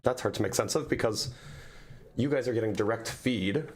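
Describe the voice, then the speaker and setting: A man hosting a call-in livestream, his voice raspy and a bit quiet from a cold, rambling and talking to his chat. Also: lowish voice, normal speed, a little hiss or hum. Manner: rambling, self-correcting, talks to chat. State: hoarse from a cold. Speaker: streamer hosting a call-in debate. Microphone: mic on screen, directional.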